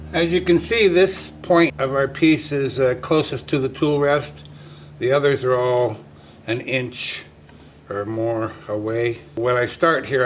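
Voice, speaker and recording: A man's voice: mid-range voice, a bit bright, a little hiss or hum. A man hosting a woodturning demonstration, talking casually in a folksy, plainspoken way as he explains each step. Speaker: woodturning channel host and instructor. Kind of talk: casual workshop demonstration talk.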